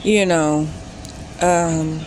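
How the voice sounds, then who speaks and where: A woman's voice: sits low, steady tone, very slow, a little mellow, slight background noise, rough recording. A woman in her late thirties telling a personal story in a casual vlog, rambling and often stopping to correct herself. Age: 30s. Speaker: vlogger.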